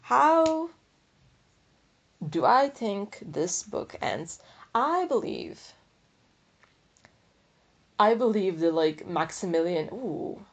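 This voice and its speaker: A woman's voice: medium pitch, lo-fi sound, a little hiss or hum. A woman hosting a book podcast in casual, unscripted talk, thinking aloud in a rambling, opinionated, slangy way. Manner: informal, slangy, freely swearing. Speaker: book review podcast host.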